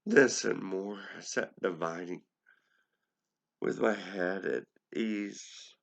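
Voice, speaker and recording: A man giving a theatrical, halting amateur reading of a gothic poem. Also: low-pitched, vocal fry. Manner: dramatic, halting delivery. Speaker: amateur poetry reader. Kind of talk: theatrical gothic poetry recitation.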